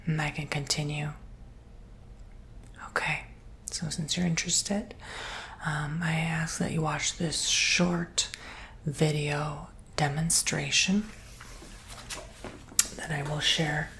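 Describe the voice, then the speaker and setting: A man playing a soft-spoken, earnest robot salesman in a whispered ASMR roleplay, breathy and gently reassuring. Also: on the high side, flat delivery, slow, long pauses, slight background noise, exaggerated voice.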